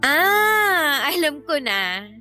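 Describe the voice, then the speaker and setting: A Filipina actress playing a sharp-tongued, irritable young live-in girlfriend in an audio drama, scolding and dismissive. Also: sits high, sing-song, very slow, soft background music, heavily acted. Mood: mocking, scornful. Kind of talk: Filipino radio-style drama acting.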